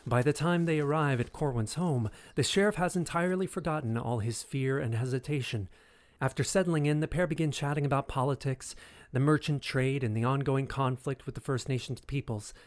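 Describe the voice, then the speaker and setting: A man's voice: medium pitch, brisk. A man reading scripted dramatic narration for an audio drama.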